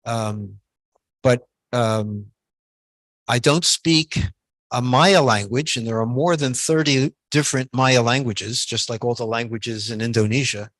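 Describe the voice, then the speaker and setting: A man giving a guest lecture, answering audience questions in a halting, soft-spoken way with many pauses and restarts. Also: mid-range voice, no room sound.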